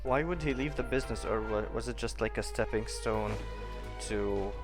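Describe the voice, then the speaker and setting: A man's voice: on the low side, moderate pace, over music. Man rambling through casual game commentary. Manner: rambling, thinking aloud. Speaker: game streamer.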